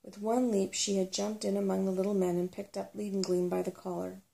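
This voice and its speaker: A soft-spoken woman reading a children's fantasy story aloud in an unhurried ASMR style. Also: medium pitch, flat delivery, vocal fry. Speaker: storybook reader.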